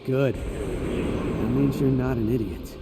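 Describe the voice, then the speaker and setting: A man's voice: medium pitch, fairly even intonation, takes it slowly, creaky, very noisy. A man playing a conflicted, defensive engineer and protective brother in a video game, earnest and insistent. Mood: pleading. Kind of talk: dramatic video game voice acting.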